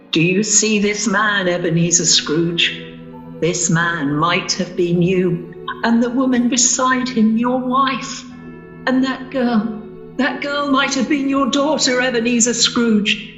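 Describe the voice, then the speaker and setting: A woman playing a solemn, relentless spirit in a radio play, deliberate and commanding. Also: on the high side, fairly even intonation, fairly bright tone, soft background music. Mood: solemn, insistent. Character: solemn, relentless female ghost of Christmas past. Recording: echoey, muffled sound.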